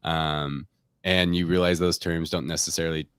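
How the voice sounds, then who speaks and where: A man's voice: deep voice, flat delivery, normal speed, a bit bright, clean tone, no echo, studio quality. A man talking casually as a company guest in an interview, informal and peppering his explanations with hedging filler phrases. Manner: informal, hedging with frequent "like" and "kind of". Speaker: tech company representative, podcast guest. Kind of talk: casual product talk in an interview. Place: remote recording on a live stream call.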